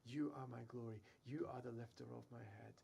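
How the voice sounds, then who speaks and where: A man with a very gravelly voice chats with enthusiasm as a co-host. He often restarts his sentences while speaking at a middle pitch. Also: steady tone, fairly quick, mellow, a little hiss or hum. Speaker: co-host musician. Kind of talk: casual chat.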